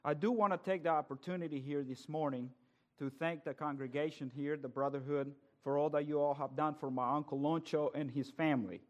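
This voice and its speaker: Earnest male preacher, fairly high voice. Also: fairly even intonation, a little fast, dull audio. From El Salvador. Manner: earnest, building from quiet greetings to loud emphatic preaching. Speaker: guest preacher. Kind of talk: sermon.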